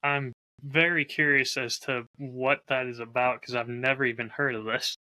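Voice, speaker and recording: A man's voice: mid-range voice, flat delivery, normal speed, pushed and bright, no room sound, poor audio. A man talking casually as a host, showing off a bottle while recording on a close lav mic. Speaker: podcast host and whiskey audio drama creator.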